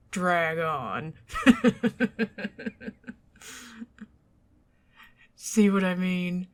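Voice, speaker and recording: A bashful, lovestruck young dragoness, played by a Southern woman, murmurs low and slow across the dinner table. Her voice has a gravelly fry at the edges. She drifts into whispers and fumbles her own jokes. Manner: soft, intimate, drifting into whispers; self-deprecating, joking, flustered. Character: shy, affectionate young dragoness on a dinner date. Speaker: ASMR performer. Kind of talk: ASMR roleplay.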